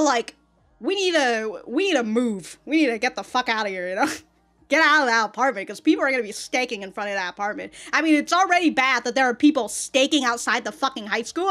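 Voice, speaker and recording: A woman's voice: high voice, a bit bright. An American woman hosting a reaction video with casual, unscripted commentary in an informal, sweary, emphatic way. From United States.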